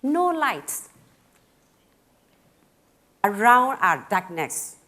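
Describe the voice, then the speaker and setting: A middle-aged woman from Burma with a Karen accent, giving a personal talk in simple, halting phrasing with pauses and fillers, a little nervous. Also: mid-range voice, big pitch swings, bright, forceful tone. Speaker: speaker at a talk.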